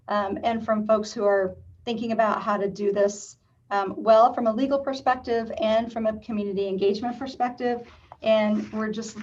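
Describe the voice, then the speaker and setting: A woman on city staff presenting a plan at a public meeting, measured and full of ums. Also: a little high. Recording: distant, dull lo-fi video meeting audio.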